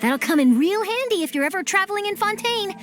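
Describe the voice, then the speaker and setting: A peppy young reporter. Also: female voice, extremely high pitch, expressive, faint music behind. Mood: upbeat. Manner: chipper, persuasive, quick. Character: young female reporter, eager and nosy. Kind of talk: video game cutscene dub.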